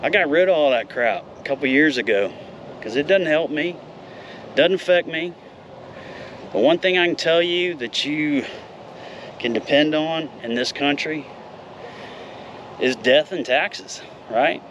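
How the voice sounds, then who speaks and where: A man's voice: fairly high pitch, a little slow, pauses often, a bit bright, highs cut off, a little hiss or hum. A man in his fifties talking in a casual, rambling vlog, candid and a little profane. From United States. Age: 50s. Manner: candid, rambling, mild swearing. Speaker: vlogger.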